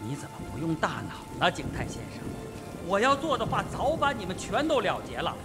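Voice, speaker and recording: Man playing a mocking colonel, swooping highish voice. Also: huge pitch swings, brisk, over music, full character voice. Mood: scornful, defiant. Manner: mocking, provocative. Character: sardonic, self-possessed colonel suspected of murder, needling the others. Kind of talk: Mandarin radio mystery drama acting.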